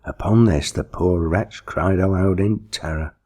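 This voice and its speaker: A man reading a story aloud as expressive, theatrical, deliberate scripted narration. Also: sits low. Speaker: audiobook reader.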